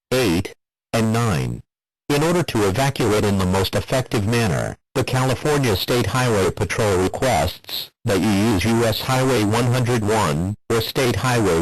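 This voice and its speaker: Low-pitched synthetic male alert announcer. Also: no echo, rough recording. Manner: formal, flat synthetic text-to-speech delivery. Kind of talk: scripted emergency broadcast announcement.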